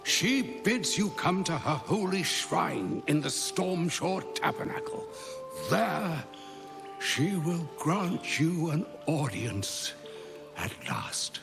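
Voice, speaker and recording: A man's voice: on the high side, sing-song, takes it slowly, vocal fry, over music, exaggerated voice. A man playing a wise, grandfatherly old wizard in a fantasy game, with theatrical, pompous delivery and ornate phrasing. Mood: solemn. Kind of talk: fantasy video game acting.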